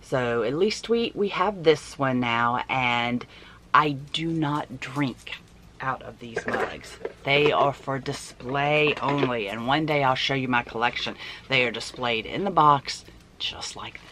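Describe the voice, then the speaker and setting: A woman in her forties with a hoarse voice, chatting casually and emphatically to camera like a shopping vlogger. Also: extremely low pitch, fairly bright tone, a little hiss or hum. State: hoarse voice from allergies. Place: small indoor room at home.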